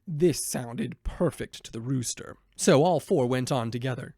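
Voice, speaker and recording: A man's voice: medium pitch, a little slow, creaky, dark tone, no echo, studio quality. A man narrating a children's storybook as a voiceover performer.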